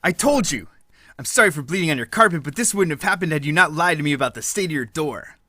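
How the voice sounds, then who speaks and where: A man giving dry, deadpan hard-boiled detective narration in a comic noir parody. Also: fairly high pitch, fast, no room sound.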